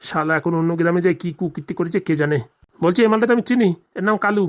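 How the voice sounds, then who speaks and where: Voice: mid-range voice, very fast, close to an everyday voice. A performer voicing a sly village man out to scrounge money in a comedy cartoon, nosy, gossipy and full of swearing. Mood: cursing.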